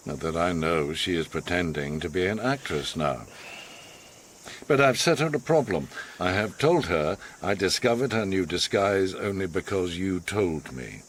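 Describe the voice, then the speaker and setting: An actor playing a manipulative, eccentric older man in a radio drama, measured, formal and theatrically commanding. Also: sits low, expressive, slight background noise. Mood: measured, knowing. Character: manipulative, eccentric, authoritative older male host, a self-styled psychiatrist.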